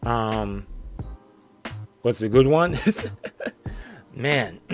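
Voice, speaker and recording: Tired young guy losing his voice, chatting from his bedroom, chuckles a lot, soft game music in the back. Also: mid-range voice, sing-song, very slow. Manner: tired, rambling, chuckling often. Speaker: streamer. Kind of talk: casual stream sign-off chat. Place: small bedroom, indoors.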